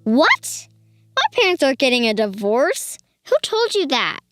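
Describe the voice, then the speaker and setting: A woman's voice: high-pitched, sing-song, a little slow, a bit bright, no room sound, clean studio sound, soft background music, full character voice. A woman voicing a hurt, worried young schoolgirl in a storybook, plaintive and emphatic. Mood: shocked, upset.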